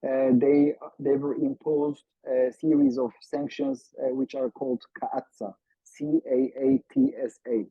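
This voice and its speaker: A man from Turkey, a native Turkish speaker with a Turkish accent, giving formal seminar analysis in a halting, emphatic way over a video call. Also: medium pitch, expressive, vocal fry, husky. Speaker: seminar panelist, political analyst. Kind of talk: seminar talk, semi-prepared analysis. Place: online Zoom seminar. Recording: muffled, echo-free video call audio.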